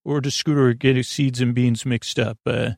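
A man hosting a bedtime podcast in a meandering, halting monologue, trailing off and restarting his thoughts, with a gravelly, creaky voice. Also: medium pitch, fairly even intonation, darkish tone, dry recording, studio quality. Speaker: podcast host. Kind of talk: rambling bedtime monologue to help listeners sleep.

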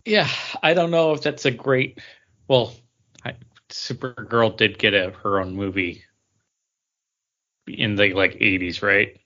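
A man's voice: on the low side, a little slow, pauses often, bright, forceful tone, dull audio. A man co-hosting a podcast, chatting casually and informally. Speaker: podcast co-host. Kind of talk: casual chat.

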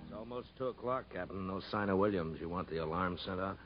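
An actor playing a terse, casual prison officer in a 1950s radio drama. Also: male voice, low-pitched, fast talker, loud music behind the voice, lightly acted. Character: laconic male prison officer, subordinate to the captain. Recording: muffled 1950s radio broadcast.

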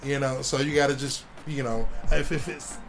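A man's voice: medium pitch, steady tone, normal speed, music in the background. A man chatting casually about comics and movies, rambling with lots of slang and fillers. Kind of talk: casual comic book chat.

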